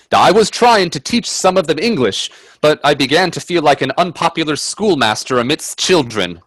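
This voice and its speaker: An actor playing a timid, wonder-struck gentleman companion in a radio play, with genteel, hesitant delivery. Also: on the high side, lively intonation, normal speed, no echo. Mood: mild, agreeable. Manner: hesitant, stammering in dialogue, genteel phrasing. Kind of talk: radio drama acting and first-person narration. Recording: husky dry close audio from video-call performance.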